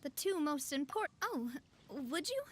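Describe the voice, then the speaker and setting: A woman playing a gracious, upbeat young leader in a video game, warm and encouraging in manner. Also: high voice, sing-song, unhurried, slight background noise. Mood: bright, bustling.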